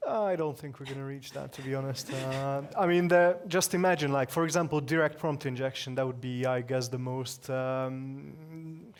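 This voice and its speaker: A man's voice: medium pitch, moderate pace, few pauses. A man co-speaker at a conference answering an audience question, thinking aloud with restarts. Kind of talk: tech talk Q&A answers.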